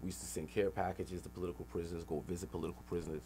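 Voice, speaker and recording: A man's voice: low-pitched, level tone, racing, mellow, slight background noise. A middle-aged man telling stories in an emphatic, streetwise, slangy way as a radio interview guest. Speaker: interview guest, rapper and activist. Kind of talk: animated storytelling in a radio interview.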